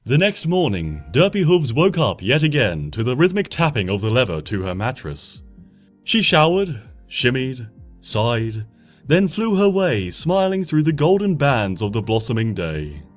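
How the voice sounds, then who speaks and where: Gentle, lively male storybook reader. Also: mid-range voice, measured pace. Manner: lively, softly dropping to hush at sentence ends. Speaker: fanfiction reader. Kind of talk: expressive storybook narration. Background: soft background music.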